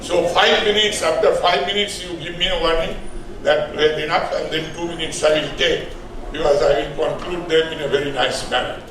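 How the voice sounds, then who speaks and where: A man's voice: fairly high pitch, fairly even intonation, normal speed, background noise. Older indian guy speechifying in a really echoey hall, mic sounds far off, kind of emphatic. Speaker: publisher and book editor giving a launch speech. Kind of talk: formal public speech with off-the-cuff asides. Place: indoor event hall at a hotel.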